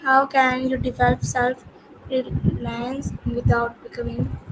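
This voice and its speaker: A woman student reading prepared interview questions, halting and restarting words as she goes. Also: sits high, little pitch movement. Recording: noisy home ambience.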